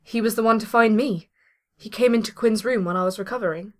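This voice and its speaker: A woman playing a kind, gentle young woman who is good with children, in an amateur audio drama. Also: a little high, fast talker, close to an everyday voice. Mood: relaxed.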